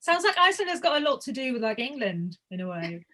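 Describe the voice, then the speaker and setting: A woman's voice: high voice, sing-song, fast talker, pushed and bright. A woman in a film club audience, making wry, teasing remarks. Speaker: audience member. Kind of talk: casual chat.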